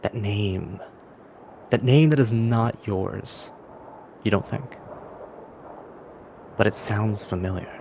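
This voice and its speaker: A man's voice: on the low side, takes it slowly, long pauses, a little mellow, slight background noise. A man acting as game master, giving dramatic second-person narration for a fantasy adventure.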